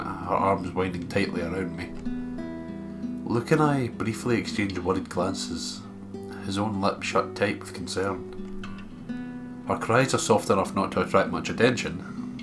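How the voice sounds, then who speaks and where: Low-voiced Scottish man reading a game. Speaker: let's play commentator and reader. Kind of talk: reading visual novel narration aloud, with casual commentary. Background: guitar music.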